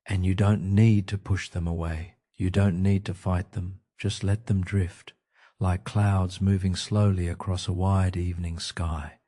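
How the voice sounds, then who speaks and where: Man, low-pitched, dark relaxed tone, calm soothing delivery, short phrases, guided sleep meditation. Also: measured pace.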